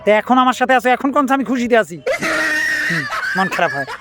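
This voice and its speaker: A man's voice: extremely high pitch, sing-song, fast talker, shouting. A man doing domestic comedy banter as a teasing, complaining husband. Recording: very noisy home-video sound.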